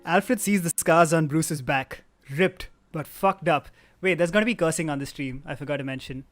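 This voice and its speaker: A man's voice: a little high, normal speed, fairly bright tone. A man hosting a comedy table read, chatting casually and narrating stage directions. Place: remote online stream. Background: live guitar.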